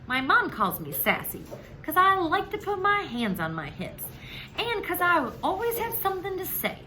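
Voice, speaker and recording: A woman's voice: very high-pitched, wildly swooping pitch, moderate pace, pushed and bright, a little hiss or hum, low-quality recording. A woman reading a children's storybook aloud expressively, with dramatic, emphatic phrasing.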